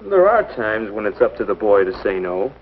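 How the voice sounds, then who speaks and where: A man's voice: fairly low pitch, big pitch swings, moderate pace. A man playing an eager, joking teenage boy in a 1950s instructional film. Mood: insistent. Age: young adult. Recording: muffled, hissy old film soundtrack.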